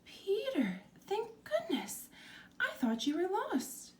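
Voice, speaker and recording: A woman playing a high-pitched, fretful storybook mother. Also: wildly swooping pitch, takes it slowly, heavily acted. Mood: relieved, scolding. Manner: fretful, peppering with questions. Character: anxious fussing mother fretting over her son, high-voiced. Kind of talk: storybook character voice.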